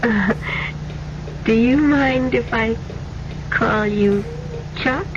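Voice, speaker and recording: An actress playing a timid, lovestruck housemaid who is no longer young, hesitant and deferential, in old-time radio drama style. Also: female voice, extremely slow. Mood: shy, eager. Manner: hesitant, breathy wonder, deferential. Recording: dull lo-fi radio recording, loud vehicle rumble.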